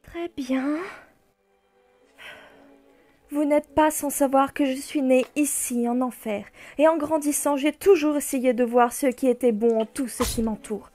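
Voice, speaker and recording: A cheerful young princess of hell, voiced by a woman. She talks eagerly in a very high voice, full of hope. Faint music sits underneath. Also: fairly even intonation, normal speed, few pauses, dark tone, natural voice. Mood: hesitant. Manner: bubbly and eager.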